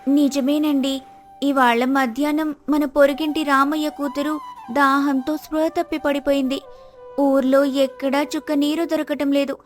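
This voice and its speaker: A woman narrator plays a caring village wife with a high voice. She pleads earnestly and with concern in a moral tale, music playing softly behind. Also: flat delivery, normal speed, natural voice. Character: compassionate, worried village wife urging her husband. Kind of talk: moral-story character dialogue.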